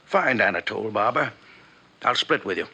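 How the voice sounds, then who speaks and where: Aging gumshoe, tired and resigned, halting delivery, bright edge to the voice, old radio drama. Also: male voice, lowish voice, lively intonation, husky, full character voice. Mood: weary. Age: 60s. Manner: hesitant, world-weary. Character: weary, aging small-time private detective, resigned and grateful. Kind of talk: 1950s radio drama acting.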